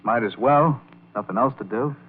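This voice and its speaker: An actor playing an earnest, awkward young man with a halting, boyish manner in an old-time radio drama. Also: medium pitch, breathy edge, natural voice. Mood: evasive, casual. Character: earnest, awkward young piano player narrating his story. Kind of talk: 1940s radio drama acting and first-person narration. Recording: tinny old radio recording with music.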